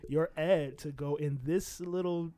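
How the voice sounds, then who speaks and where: A man hosting a casual podcast, relaxed and steering the conversation. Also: a little high, unhurried, no room sound. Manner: laid-back, steers the talk. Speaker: podcast host.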